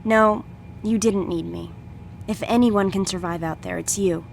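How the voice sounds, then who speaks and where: A woman's voice: mid-range voice, fairly even intonation, unhurried, creaky, slight background noise. An actress playing a loyal, earnest young woman in a video game, speaking in a heartfelt, urgent dramatic style. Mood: wistful, resigned.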